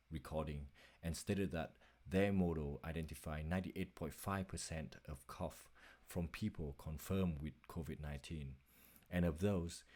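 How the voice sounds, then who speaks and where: A man's voice: very deep voice, a little mellow. A man reading a scripted narration for a slide presentation as its presenter.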